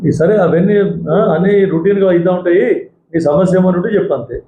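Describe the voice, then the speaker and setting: A man with a Telangana Telugu accent fielding calls as a legislator, brisk and repeating himself as he answers. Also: high-pitched. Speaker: elected legislator taking phone-in calls. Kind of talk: question-and-answer with callers. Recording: phone-like sound, roomy echo, noise.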